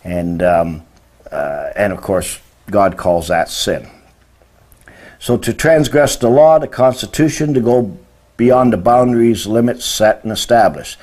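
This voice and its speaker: A man's voice: lowish voice, animated, a little slow, darkish tone. A man in his sixties teaching religion in an informal, emphatic lecture, often posing rhetorical questions. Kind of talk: informal lecture explaining word meanings. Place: indoor room beside a presentation screen.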